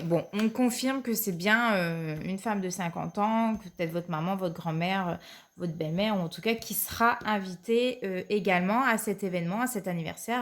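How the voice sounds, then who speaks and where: A woman with a cold giving a weekly card reading to her viewers, hesitant and full of fillers. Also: fairly low pitch. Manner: hesitant, many fillers, repeats "tout simplement". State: has a cold. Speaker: card reader.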